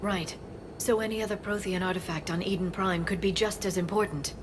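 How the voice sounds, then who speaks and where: A woman's voice: fairly low pitch, steady tone, brisk, noisy background, natural voice. A woman playing an earnest, scholarly, hopeful alien scientist in a video game. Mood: earnest, focused. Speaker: scientist and expert.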